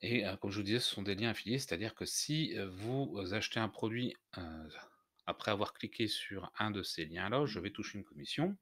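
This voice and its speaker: A man narrating an unscripted software tutorial, explaining step by step in a hesitant way. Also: fairly low pitch, animated, a little fast, no room sound, studio quality. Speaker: tutorial host.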